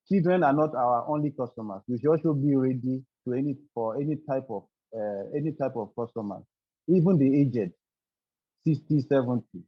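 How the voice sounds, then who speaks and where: Man, webinar guest, earnest and halting, dry muffled call audio. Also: mid-range voice, no room sound. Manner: earnest, repeats words while searching for them. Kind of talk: formal presentation. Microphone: video call.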